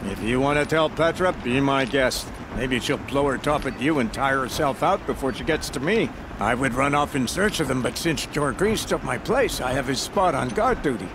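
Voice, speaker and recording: Actor doing a chatty worried guard guy from a video game, mid pitch, fretting wryly, noisy outdoor background. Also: expressive, normal speed, few pauses, lightly acted. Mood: fretful, wry. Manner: fretful, wry, talkative. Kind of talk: video game character acting. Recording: clear outdoor ambient noise behind.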